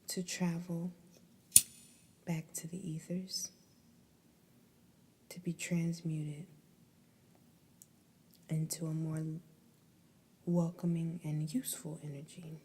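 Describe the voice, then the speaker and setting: A woman whispering a guided ASMR meditation in a breathy, soothing, deliberate way, pausing between phrases. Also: on the low side, warm tone.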